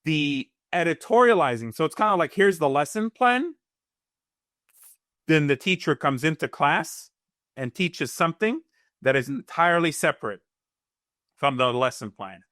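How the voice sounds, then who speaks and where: A man's voice: on the high side, a bit bright, no room sound, studio quality. A man from Gaza whose first language is Arabic, speaking earnestly as a guest analyst in an interview, often repeating words as he starts a sentence.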